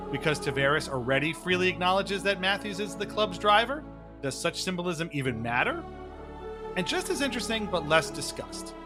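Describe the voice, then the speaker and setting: A man co-hosting a sports podcast in a casual, wry and amused manner. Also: a little high. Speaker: podcast co-host. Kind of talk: casual hockey fan chat with mock-dramatic readings.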